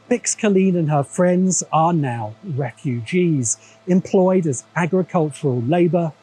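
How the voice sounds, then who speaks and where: A man's voice: fairly high pitch, dark tone, husky, low-quality recording. An English man delivering scripted video essay narration in a didactic, wry way. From England.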